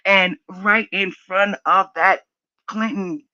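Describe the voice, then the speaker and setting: Muffled, low-voiced older New woman. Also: fairly even intonation, slow pace, sharp tone. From New York, United States. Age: middle-aged or older. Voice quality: strong, low-set voice. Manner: emphatic, forthright, repeats and rephrases for stress. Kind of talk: impassioned conversational opinion talk.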